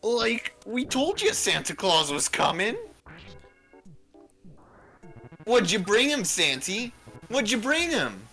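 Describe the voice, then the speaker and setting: A woman voicing excitable, plucky cartoon sidekicks in a story read-along. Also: mid-range voice, measured pace, soft background music, full character voice. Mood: excited. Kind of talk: group fan-fiction read-along with character voices.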